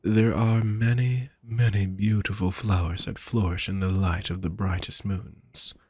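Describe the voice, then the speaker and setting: Man playing a slow, husky, courtly vampire. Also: sits low, fairly even intonation, dry recording. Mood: wistful, seductive. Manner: gentle, formal, flowery, teasing endearments. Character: courtly, doting, possessive male vampire shopkeeper. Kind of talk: intimate roleplay audio drama, addressing the listener.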